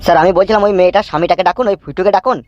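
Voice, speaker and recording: A man's voice: high voice, very fast, darkish tone, muffled recording, close to an everyday voice. A man voicing a timid, stammering male police constable who eagerly assists his boss in a cartoon. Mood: nervous.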